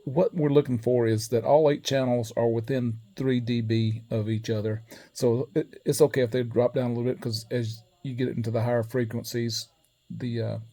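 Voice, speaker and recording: A man giving a casual hands-on technical tutorial in a methodical, matter-of-fact way, pausing as he goes. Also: mid-range voice, level tone, dark tone. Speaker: presenter demonstrating equipment calibration.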